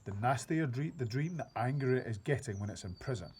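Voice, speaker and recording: A man reading aloud, voicing an excitable big friendly old male giant who mangles his grammar and invents words. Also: medium pitch, brisk, slightly rough. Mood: grumbling. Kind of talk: reading a children's book aloud.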